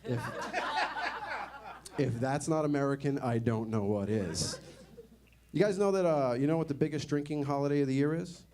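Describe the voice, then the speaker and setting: A man's voice: medium pitch, moderate pace, long pauses, dark tone. A young man doing a stand-up comedy set in a conversational, sardonic way, often asking the audience questions. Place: comedy club stage.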